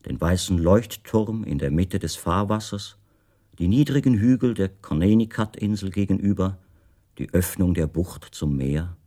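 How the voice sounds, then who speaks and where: Radio play role: middle-aged writer, deep voice, reflective, detached, melancholy first-person recollection. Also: male voice, a little mellow, full character voice.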